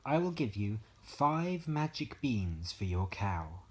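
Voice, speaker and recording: A man's voice: fairly low pitch, sing-song, takes it slowly. A man voicing a mysterious, persuasive old bearded trader with a sly, coaxing manner in a bedtime story. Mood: commanding.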